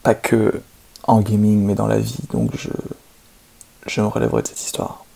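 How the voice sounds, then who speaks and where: Smug male speedrunner, played by a man for ASMR roleplay: hushed, heavily creaky, hesitant yet self-important, slight background noise. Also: lowish voice, little pitch movement, moderate pace. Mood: wounded pride. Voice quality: soft, breathy, half-whispered, creaky. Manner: smug, hesitant, repeats pet phrases. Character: boastful, excuse-making, obnoxious male video game speedrunner. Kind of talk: ASMR roleplay, soft gaming commentary.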